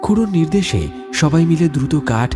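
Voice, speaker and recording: A man's voice: fairly high pitch, animated, quick pace. A man narrating a scripted horror story in a dramatic style. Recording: loud music behind the voice.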